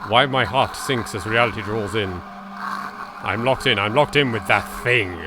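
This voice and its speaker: A man's voice: lowish voice, normal speed, background noise. A man with an English accent hosting a let's play, reading aloud dramatically and commenting in a theatrical, exasperated, sweary way. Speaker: gaming video host.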